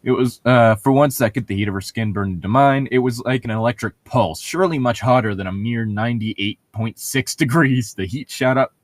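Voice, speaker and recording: A man's voice: medium pitch, a little fast, few pauses, no echo. A man reading aloud casually and breaking off for sarcastic, sweary commentary as co-host of a comedic read-along.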